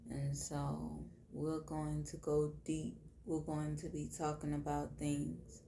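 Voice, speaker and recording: A woman with a Southern US accent and a hoarse, tired voice, hosting an intimate late-night podcast monologue in deliberate, drawn-out phrases. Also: deep voice, little pitch movement, dark tone, roomy sound, slight background noise. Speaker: podcast host.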